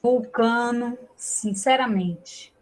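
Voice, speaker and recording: A woman playing an imperious, bitter queen of the gods, disdainful and drawn-out, in a mythological comedy audio drama. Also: on the high side, a little mellow, a little hiss or hum. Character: scornful, imperious queen goddess, bitter wife and mother.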